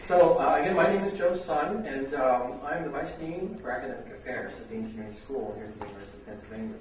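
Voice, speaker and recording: A middle-aged man opening a university seminar as host, speaking haltingly with many ums and uhs. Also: mid-range voice. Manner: hesitant, full of fillers. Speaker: seminar host, vice dean. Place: lecture room. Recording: echoey room, distant mic, noisy.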